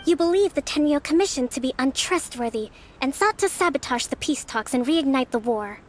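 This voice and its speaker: A woman playing a shrewd, composed young leader in a video game, speaking in a polite, measured and formal way. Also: high voice, animated, a little hiss or hum. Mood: gently firm.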